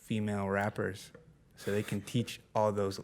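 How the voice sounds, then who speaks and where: A man's voice: fairly low pitch, monotone, moderate pace, a little mellow, a little hiss or hum. Young man ranting jokily on a podcast. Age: young adult. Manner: joking, mock-ranting. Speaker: podcast co-host. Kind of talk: casual chat. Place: small studio stage with curtain backdrop. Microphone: handheld mic.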